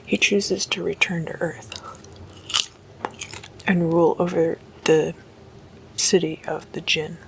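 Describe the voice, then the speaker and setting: A woman doing whispered ASMR as a host, hushed and deliberate, mostly in breathy, unvoiced whisper. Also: fairly low pitch, fairly even intonation, a little hiss or hum, poor audio. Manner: slow, hushed, deliberate delivery.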